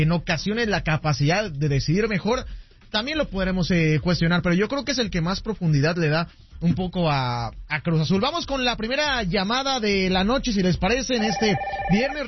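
A male sports radio host with a high, husky voice. He talks in a measured, analytical way and introduces callers. The broadcast sound is dull and lo-fi. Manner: measured analysis, takes calls.

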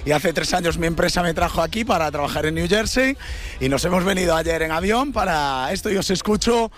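A man from Galicia, a football fan, talking excitedly to a reporter outside a stadium. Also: high-pitched, fairly quick. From Galicia, Spain. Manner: excited, warm-hearted fan talk.

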